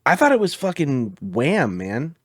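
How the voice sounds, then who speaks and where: A man chatting on a gaming podcast, his voice a touch raspy and his pitch rising and falling a lot. He swears freely and laughs easily, and the sound is dry and close with no room echo. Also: sing-song, unhurried. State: slightly raspy from singing. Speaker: podcast co-host. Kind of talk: casual chat.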